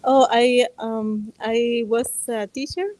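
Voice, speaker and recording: A woman, a resort manager answering interview questions in a hesitant, halting way. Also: on the high side, fairly even intonation, a little mellow, dry recording. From Costa Rica. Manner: hesitant, halting, with many fillers. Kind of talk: interview guest. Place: outdoor resort.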